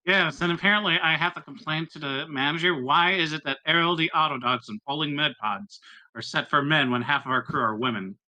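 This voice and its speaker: A man with a very bright, sharp voice pitched a bit high talks casually during a tabletop game. He has a wry, sardonic streak. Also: fairly quick, poor audio. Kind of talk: casual joking game chat.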